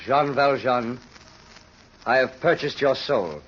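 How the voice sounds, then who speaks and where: An actor playing a solemn, merciful old bishop in an old radio drama, speaking piously. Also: male voice, mid-range voice, slow pace, a bit bright. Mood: solemn, gentle. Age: older. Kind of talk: 1930s radio drama acting. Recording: muffled, hissy old radio recording.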